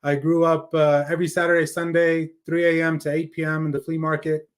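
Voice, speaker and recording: American guy being interviewed, voice a bit on the high side, just telling his life story conversationally. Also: completely flat delivery, fairly quick. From raised in California, born in Afghanistan. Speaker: interview guest, optometrist.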